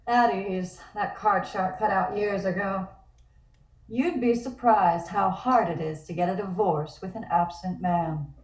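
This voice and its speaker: A woman plays a wry, sharp-tongued wife out for the night in a period comedy. Her delivery is dry and guarded, at a medium pitch, heard from a distance in a roomy space. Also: unhurried, lightly acted. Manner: dry, sardonic. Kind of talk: period comic table-read acting.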